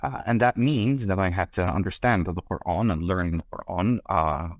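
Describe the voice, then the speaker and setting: A man's voice: low voice, moderate pace, dry recording. A middle-aged man from Egypt, a scholar being interviewed, speaking in wordy, hesitant academic phrasing.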